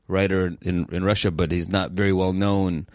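Low male voice, co-host, loose filler-heavy talk, dry. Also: flat delivery, normal speed, a little mellow, no echo. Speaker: podcast co-host. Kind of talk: casual podcast chat.

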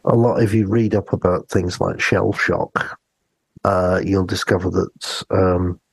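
Male podcast co-host, gravelly and husky, conversational, close dry sound. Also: low voice, steady tone, unhurried, no echo, low-quality recording. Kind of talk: casual podcast discussion.

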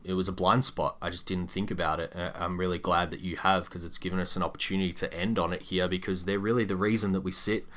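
A man's voice: low-pitched, little pitch movement, quick pace, hardly any pauses, a bit bright. A man co-hosting a sports podcast, chatting casually about basketball with enthusiastic, opinionated delivery and casual swearing.

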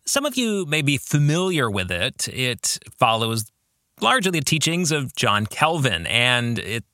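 A middle-aged man hosting a reflective book podcast, speaking deliberately with thoughtful pauses and putting questions to listeners. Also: mid-range voice, sing-song, pushed and bright, dry recording, studio quality. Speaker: podcast host and author discussing his own novel.

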